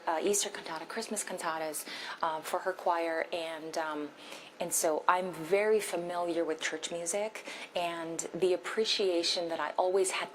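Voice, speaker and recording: Woman from Korea, low voice, reflective, long-winded storyteller. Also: a little hiss or hum, poor audio. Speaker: guest performer at press event. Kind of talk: personal storytelling at press conference. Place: large theater.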